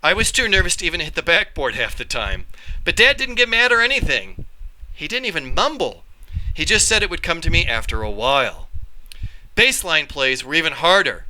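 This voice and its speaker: A man tells a children's story with lively, wide-ranging intonation. His voice is strikingly bright and sits a little high for a man. He reads expressively, as a storyteller would. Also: a little fast, rough recording. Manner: animated, acts out dialogue. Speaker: reader, storyteller.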